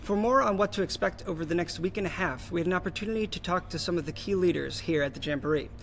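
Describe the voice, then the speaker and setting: A man's voice: high voice, fast talker, gravelly, a bit bright, slight background noise. A young man from New Jersey co-hosting a scripted show, friendly and easygoing. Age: young adult. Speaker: youth co-host.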